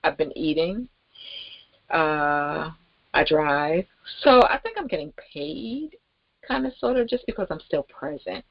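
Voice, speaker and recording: A woman giving an informal, rambling promotional talk as host, with halting, emphatic delivery and pauses mid-sentence. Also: deep voice, expressive, no room sound, lo-fi sound. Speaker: network marketing promoter and host.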